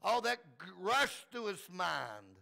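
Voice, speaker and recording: A man preaches in a high, gravelly voice. He is folksy and plain-spoken, retelling scripture with fervor and repeating phrases to drive them home. The tone is bright and forceful. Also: very animated, slow. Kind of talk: fervent sermon retelling Bible stories.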